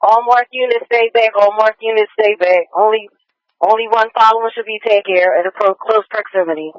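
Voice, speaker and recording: A man's voice: sits high, steady tone, a little fast, no room sound. A man working as a police dispatcher, relaying pursuit updates and orders over the radio and repeating them. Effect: police radio transmission. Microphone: radio dispatch microphone heard over scanner. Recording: dull narrow-band police scanner radio.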